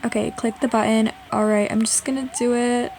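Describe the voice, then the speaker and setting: A woman's voice: fairly high pitch, flat delivery, moderate pace, vocal fry, over loud music. A woman from Canada doing a casual, chatty voice-over as a video host, in informal speech peppered with "like". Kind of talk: casual gaming voice-over narration.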